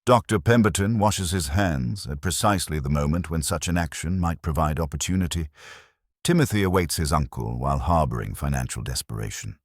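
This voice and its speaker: A man giving scripted mystery narration in a steady, formal storytelling manner. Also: very deep voice, fairly quick, dry recording, studio quality.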